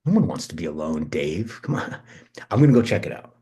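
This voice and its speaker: A man plays an impulsive, foul-mouthed American cousin in a drama script read. The voice is notably husky and a bit low, swinging widely in pitch. He talks casually and with animation. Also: sing-song, a little fast, mellow, exaggerated voice. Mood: eager, concerned. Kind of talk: screenplay table read.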